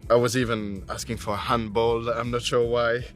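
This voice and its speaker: A man's voice: mid-range voice, flat delivery, normal speed. A man, a footballer, talking casually in a documentary interview. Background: music.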